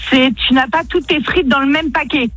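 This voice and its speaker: A woman's voice: sits high, moderate pace, a bit bright, no room sound, soft background music. A chatty, cheerful woman from northern France, phoning a radio show for a casual chat. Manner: chatty, cheerful, laughs easily. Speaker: phone-in caller, night-shift railway worker. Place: parked outside at a car park entrance, on a phone. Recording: phone call into a radio show.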